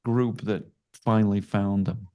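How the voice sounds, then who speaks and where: A man in his fifties co-hosting a podcast, agreeable and quick to chime in while recalling high school. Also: fairly even intonation, mellow. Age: 50s. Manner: agreeable, many yeahs, easy laughter. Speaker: podcast co-host. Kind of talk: casual reminiscing chat.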